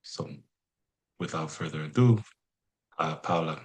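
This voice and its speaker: A man's voice: extremely low pitch, measured pace. A man speaking as the host of an online webinar, giving introductions and practical notes to the audience. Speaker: webinar host, program director. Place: remote webinar from New York.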